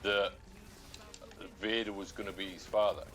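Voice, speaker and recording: A man's voice: on the low side, flat delivery, moderate pace, pushed and bright. A man in a casual chat who tells anecdotes and asks the guest questions. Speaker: co-host friend.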